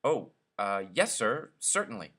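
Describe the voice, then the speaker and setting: A man's voice: lowish voice, big pitch swings, a little slow, a bit bright, heavily acted. A man voicing a timid, deferential teacher who speaks hesitantly and pleadingly in a storybook reading. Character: timid, deferential male subordinate teacher, privately scathing.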